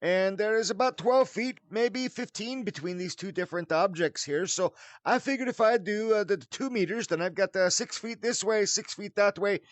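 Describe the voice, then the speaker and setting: A man's voice: high voice, normal speed, few pauses, no room sound. A man hosting a casual game tutorial in a theatrical way, mixing mock-formal wording with folksy slang. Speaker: tutorial host. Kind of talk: casual spoken game tutorial with showy greeting.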